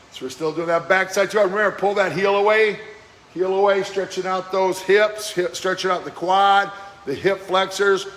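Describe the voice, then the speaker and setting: A man leading a live-streamed workout as fitness coach, giving brisk, encouraging exercise cues in a slightly hoarse voice that keeps cracking. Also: high voice, fairly even intonation, a bit bright. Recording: echoey room with faint background noise.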